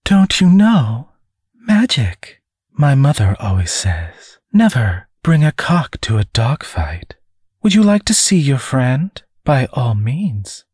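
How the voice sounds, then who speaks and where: This is a haughty young witch villainess from a storybook, acted out by a man. The voice is lifted high and husky, with slow, mocking phrases that swing widely in pitch. It sounds smug and menacing. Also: big pitch swings, a little mellow, no room sound, studio quality, full character voice. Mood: taunting.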